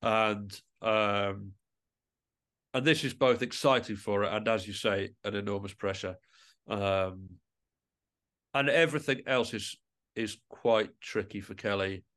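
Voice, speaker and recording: A middle-aged man with a fairly low voice talks as a guest in a podcast interview. He thinks as he goes, often pausing and starting a phrase again in a conversational, reflective way. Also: a bit bright. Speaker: guest musician, composer and writer.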